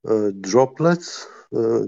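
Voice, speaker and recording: Man, patient explainer, mellow dry delivery, muffled lo-fi sound. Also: mid-range voice, lively intonation, creaky, no echo. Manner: slow, patient explaining with frequent hesitations. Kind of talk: casual explanatory chat.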